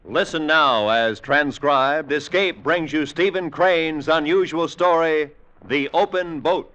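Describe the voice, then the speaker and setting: Bright, forceful male vintage radio announcer. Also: fairly high pitch, slow pace. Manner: emphatic, formal projection. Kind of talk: 1940s-50s radio announcing. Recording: dull vintage radio recording with music.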